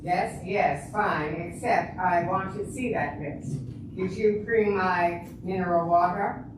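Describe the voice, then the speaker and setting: A woman's voice: on the low side, slow. A woman in an amateur stage reading playing a fussy, grandly demanding diva opera singer, effusive and commanding. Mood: imperious, demanding. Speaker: amateur reader's theater performer. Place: large reverberant indoor room, distant mic. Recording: echoey hall from far off, noisy.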